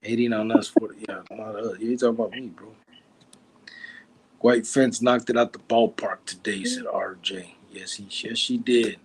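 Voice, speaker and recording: A man's voice: lowish voice, steady tone, normal speed, husky, rough recording. A man, hosting a casual livestream, joking and teasing while reading out viewer comments. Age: 40s. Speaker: livestream host.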